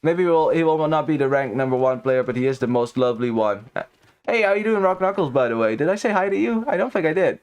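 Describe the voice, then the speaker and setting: A man's voice: fairly high pitch, animated, fast talker, a little mellow, clean tone. A young Dutch man in his twenties casting a strategy game live, chatting with viewers and rambling with many restarts. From Netherlands. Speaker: esports caster. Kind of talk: live game commentary with chat banter.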